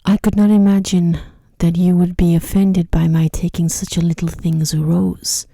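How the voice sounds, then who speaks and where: A humble merchant father from a bedtime fairy tale, voiced by a woman, pleading and fearful. The voice is low for a woman, soft and breathy, recorded very dry and close. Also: little pitch movement, normal speed, no room sound. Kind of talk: bedtime storybook reading.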